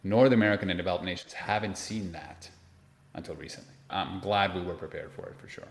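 A man's voice: low-pitched, fairly even intonation, fairly quick, gravelly, slight background noise. A man from Peru in his forties, an interview guest telling personal stories in a conversational way. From Lima, Peru. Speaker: interview guest, investment manager. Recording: roomy, echoey sound.

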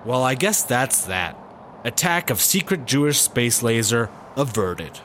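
A man's voice: mid-range voice, lively intonation, measured pace, fairly bright tone, a little hiss or hum. Man acting a dry, weary straight man. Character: weary, incredulous straight-man squad-car partner. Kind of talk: comic audio drama acting.

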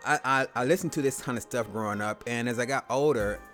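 A man's voice: medium pitch, fast talker, a bit bright, faint music behind. Casual thirties man hosting a podcast. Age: 30s. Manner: loose, conversational, slangy, acts out little quoted exchanges. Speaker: podcast host. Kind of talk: casual solo podcast talk.

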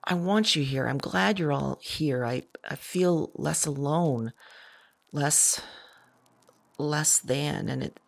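Slow, halting, anxious fugitive woman, acted. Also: deep voice, gravelly, heavily acted. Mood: hesitant, hopeful. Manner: halting, self-correcting, repeats words. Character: fugitive visionary woman, anxious, searching, talking to spirits. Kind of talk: naturalistic audio drama monologue.

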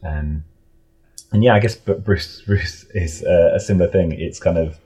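A man chatting casually as an interview guest, in a rambling, halting way that often doubles back on itself. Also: low-pitched, mellow, slightly rough. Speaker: audio drama creator, interview guest.

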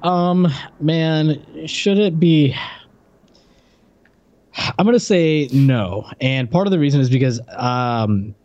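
A man co-hosting a podcast in casual conversation, halting and repeating words as he thinks through his point. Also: a little high, darkish tone, lo-fi sound. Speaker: podcast co-host. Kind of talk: casual unscripted chat.

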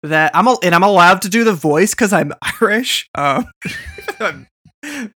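A man's voice: high-pitched, animated, measured pace, pushed and bright. A man from Boston co-hosting a podcast, rambling and joking in casual chat with lots of fillers and restarts. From Boston, USA. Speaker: podcast co-host.

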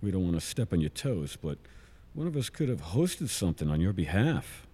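An actor playing a middle-aged scientist father in an audio drama, casual, wry and gently persistent. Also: low-pitched, big pitch swings, a little fast, slightly rough, in a large hall, heavily acted. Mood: concerned. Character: warm, eager father and scientist, a concerned friend. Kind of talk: naturalistic audio drama acting.

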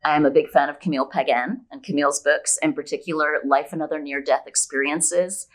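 A woman's voice: sits low, fairly even intonation, a little fast. A woman speaking as an author guest in a conversational interview, explaining her opinions emphatically with examples. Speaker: podcast guest, women's fiction author.

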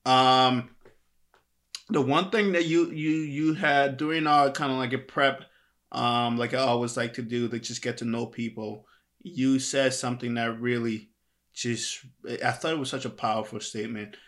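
A man hosting an interview podcast, animated and emphatic, with many fillers like "you know" and "like". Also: mid-range voice, moderate pace. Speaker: podcast host.

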